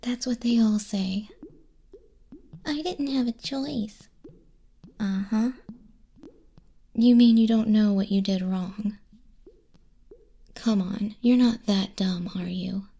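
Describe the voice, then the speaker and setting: Woman as a creaky, whispering, sardonic demon clerk. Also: medium pitch, takes it slowly, long pauses, full character voice. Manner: soft-spoken, half-whispering, dry, condescending, sighing. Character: sardonic, bored female demon clerk registering sinners in Hell. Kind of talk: whispered ASMR roleplay. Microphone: close binaural microphone.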